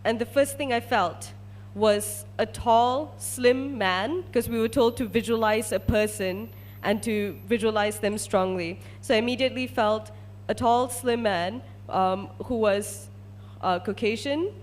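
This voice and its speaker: A woman's voice: on the high side, measured pace. A woman from Malaysia, a research scientist, telling a personal story to an audience as a testimonial.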